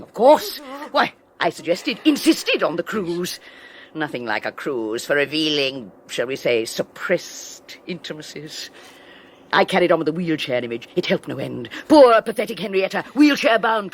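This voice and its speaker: Radio drama villainess: theatrical, sneering wife, lively swooping delivery, cackles with scorn. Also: female voice, on the low side, normal speed, a little hiss or hum. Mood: scornful. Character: domineering wealthy wife, cruel, mocking, triumphant. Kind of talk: 1980s radio drama acting.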